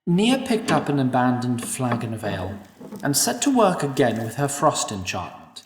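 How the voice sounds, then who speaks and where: A man narrating an audio drama in an expressive storytelling manner. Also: medium pitch, moderate pace, background noise. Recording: echoey room, distant mic.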